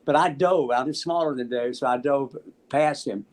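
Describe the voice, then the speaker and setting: An American man in his seventies casually telling anecdotes in an animated, halting way, acting out the dialogue. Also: medium pitch. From Memphis, United States. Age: 70s. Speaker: interview guest, musician. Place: small room at home, on a video call.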